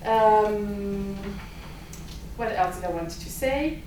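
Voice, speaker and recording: A woman's voice: mid-range voice, slow, noisy background. A woman whose first language is Italian, giving hesitant opening remarks at an academic symposium, with many filler sounds. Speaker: symposium host and lab director. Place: university seminar room. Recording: echoey lecture room, mic far off.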